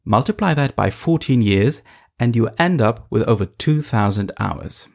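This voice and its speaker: A man from eastern Germany narrating a reported feature in a measured, scripted way. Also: mid-range voice, animated, vocal fry. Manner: measured, storytelling delivery.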